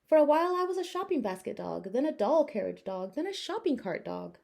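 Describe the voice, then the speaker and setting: A woman's voice: high voice, big pitch swings, fairly quick, creaky, darkish tone, exaggerated voice. A woman voicing a small, timid, loving storybook dog with yipping barks and earnest, childlike speech. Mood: relieved, fond.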